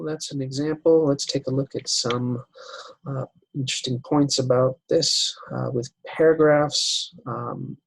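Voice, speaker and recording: Guy teaching english writing over slides, gravelly husky voice, slow and steady, dry close sound. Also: medium pitch, no echo, poor audio. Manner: unhurried, explains step by step with many uh fillers. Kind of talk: recorded slideshow lesson.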